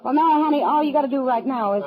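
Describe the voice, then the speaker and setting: A woman's voice: high-pitched, quick pace, lo-fi sound, lightly acted. An American woman acting as a worldly, familiar, easygoing saloon woman in an old-time radio western. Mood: friendly. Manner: familiar, breezy, knowing. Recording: old radio drama, saloon crowd behind.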